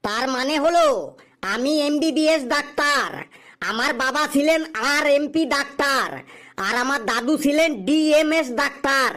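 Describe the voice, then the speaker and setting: A man's voice: extremely high pitch, a little slow, bright, forceful tone, heavily acted. A man voicing a self-important doctor in a comic cartoon, formal, questioning and easily affronted. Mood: puzzled, affronted. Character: self-important male doctor interviewing a job applicant.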